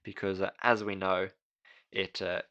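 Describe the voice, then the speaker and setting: A man in casual podcast chat about racing. His voice is bright and forceful, sitting on the lower side. He rambles with plenty of fillers and restarts. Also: level tone, a little slow, dull audio. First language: English. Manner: chatty, frequent fillers and restarts. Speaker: podcast co-host.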